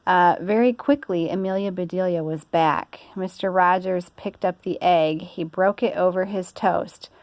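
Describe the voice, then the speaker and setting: A woman's voice: fairly low pitch, measured pace, hardly any pauses, darkish tone, no room sound. A woman reading a children's story aloud in an informal way. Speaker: storybook reader.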